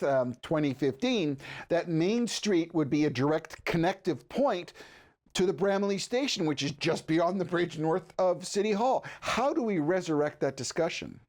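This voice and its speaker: A man conducting a local affairs interview in a conversational way, putting long, measured, probing questions. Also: a little high, darkish tone.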